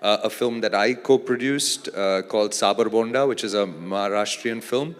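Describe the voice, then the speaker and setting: A man, an actor and producer from Australia, speaking as a panel guest in a relaxed, thoughtful way with many fillers. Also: on the low side, normal speed. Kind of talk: casual panel conversation. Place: large event hall on stage. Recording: long reverb of a large hall.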